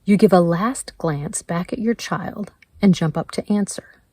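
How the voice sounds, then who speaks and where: A woman leading a guided meditation in a gentle, measured way, leaving pauses between her prompts. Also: on the low side, breathy edge, no echo. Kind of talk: slow guided meditation narration.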